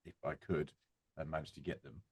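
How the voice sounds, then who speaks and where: A man's voice: extremely low pitch, fairly even intonation, brisk, husky, no room sound. A man chatting casually in a group as a writer and editor, breaking into acted-out retellings. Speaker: audio drama writer and editor. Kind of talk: casual group chat about projects.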